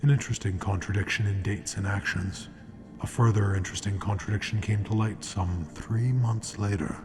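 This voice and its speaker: An actor playing a grave, ominous narrator of a radio crime story, deliberate and dramatic. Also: male voice, fairly low pitch, steady tone, lightly acted. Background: music.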